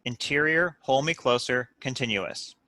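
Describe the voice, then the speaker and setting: A man's voice: mid-range voice, a little slow, sharp tone, no echo. A man reading stage directions as scripted narration for a table read.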